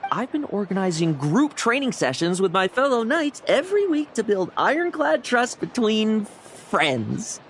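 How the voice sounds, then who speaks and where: An actor playing a sly, cocky young knight in a video game, smug, breezy, theatrical and playfully evasive. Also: male voice, high voice, sing-song, measured pace, hardly any pauses, a little hiss or hum, exaggerated voice. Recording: music under the voice.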